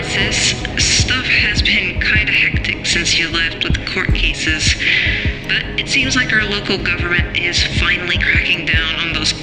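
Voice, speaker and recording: An actor playing a gentle, affectionate brother recording a casual message in a sci-fi audio drama. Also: male voice, high-pitched, reverberant, low-quality recording.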